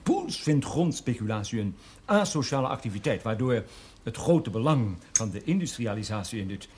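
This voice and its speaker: An actor playing a businesslike, persuasive man in a Dutch period television drama, speaking formally and with measured care. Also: medium pitch, sing-song, darkish tone, breathy edge. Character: businesslike, persuasive man promoting a mining land deal.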